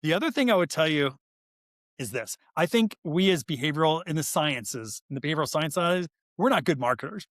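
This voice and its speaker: Higher-voiced man, emphatic podcast expert. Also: expressive, a little fast, a bit bright, dry recording, clean studio sound. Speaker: guest expert, author and behavioral scientist. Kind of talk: conversational explanation on a marketing podcast.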